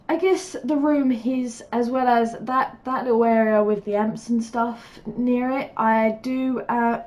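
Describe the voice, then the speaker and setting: A woman's voice: fairly high pitch, darkish tone. Stuffed-up woman, chatty and rambling. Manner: rambling and chatty, lots of fillers, laughs often. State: stuffed up with a cold, sniffling. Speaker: gaming YouTuber. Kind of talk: casual voice-over commentary on a building game.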